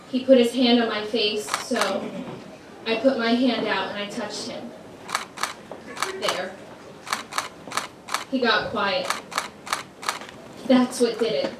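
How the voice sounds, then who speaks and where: Tormented woman, played by an actress, high voice, raw theatrical confession, roomy far-off stage sound. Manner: blunt, profane, intense. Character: tormented, guilt-ridden woman confessing a dark past. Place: competition stage, indoors. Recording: echoey stage, distant mic, faint noise.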